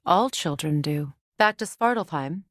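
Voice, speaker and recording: A proud Norse warrior goddess from a video game. She is a stern, grieving mother with a commanding, measured delivery and dry wit. The sound is dry and free of room. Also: deep voice, lively intonation, a bit bright, no echo, exaggerated voice.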